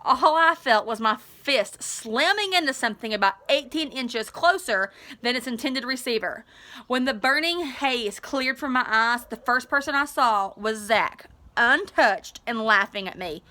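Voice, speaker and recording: A woman teacher reading a story aloud expressively, with an animated, informal manner. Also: on the high side, measured pace. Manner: animated, informal asides to listeners.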